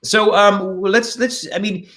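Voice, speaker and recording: A middle-aged man hosting a casual theater chat over a video call, talking in halting, trailing phrases that he keeps reworking. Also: high voice, fairly even intonation, pushed and bright, a little hiss or hum. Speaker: theater host introducing cast. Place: indoors at home or studio, on a video call.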